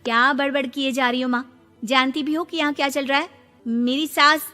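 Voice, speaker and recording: A sharp-tongued young daughter-in-law acted by a woman in a cartoon family story. Her voice is very high and shrill, and she complains in exasperation with a quick temper. It rings in long reverb with music behind it. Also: steady tone. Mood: agitated. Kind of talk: animated moral-story cartoon voice acting.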